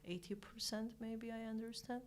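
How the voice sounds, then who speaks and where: Armenian-accented young woman, chatty and halting. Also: on the high side, steady tone. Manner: chatty, halting with frequent fillers and restarts. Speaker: guest. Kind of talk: casual conversational interview.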